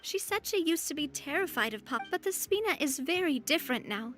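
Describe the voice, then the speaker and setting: Bright, high-voiced confident young boss. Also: female voice, expressive, a little fast, soft background music. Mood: caring. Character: cheerful, confident young lady boss, warm yet commanding. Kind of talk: anime-style video game acting.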